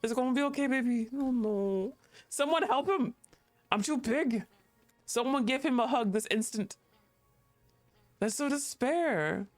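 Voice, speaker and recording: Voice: medium pitch, a little slow, long pauses, faint music behind. A game streamer giving casual, playful livestream commentary, reacting aloud to the game and chatting with viewers.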